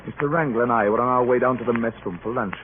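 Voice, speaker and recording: An actor playing a cautious, reserved ship's captain in a radio drama, formal and measured in acting and narration. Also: male voice, medium pitch, natural voice. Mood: grave, narrative. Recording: tinny, noisy old radio recording.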